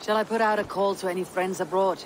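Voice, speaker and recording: A woman plays a Viking warrior chieftain in a game cutscene. She is steady, stately and in command, with a middling pitch. Outdoor noise is clearly audible behind her. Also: natural voice. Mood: warm, amused. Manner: measured, stately. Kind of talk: dramatic video game acting.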